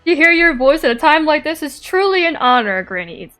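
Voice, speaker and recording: High-pitched, animated woman streamer. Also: fairly quick. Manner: playful, giggly, reading and answering chat. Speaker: game streamer. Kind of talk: casual livestream chat while gaming. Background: game music.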